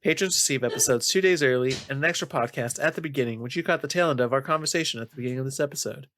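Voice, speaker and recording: Male podcast host, nasal, mid-pitched, casual gamer chat, dry recording. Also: very fast, a bit bright, no echo.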